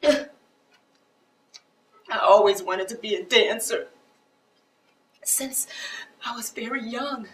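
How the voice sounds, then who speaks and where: Stage drama: regretful woman in her forties, high plaintive voice, echoey distant pickup. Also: slow pace, pauses often. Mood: wounded, bitter. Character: hurt, regretful woman nearly forty-five who gave up dancing. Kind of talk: stage play acting. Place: theater stage. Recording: echoey stage, picked up from far off.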